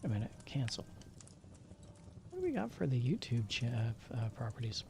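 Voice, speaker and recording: A man's voice: mid-range voice, wildly swooping pitch, moderate pace, creaky, a little mellow, a little hiss or hum. A man streaming casually, muttering to himself and often dropping to a half-whisper as he works through settings. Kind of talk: casual livestream chat while troubleshooting settings. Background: music.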